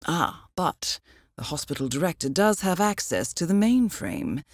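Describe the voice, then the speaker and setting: A composed, middle-aged spy handler briefing an assassin in a video game. Her voice sits fairly low and moves expressively through each phrase, formal and dryly understated. The recording is close and dry, with no room sound. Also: sing-song, normal speed, no room sound. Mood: measured, businesslike. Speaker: mission handler. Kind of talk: scripted game voice acting, mission briefing.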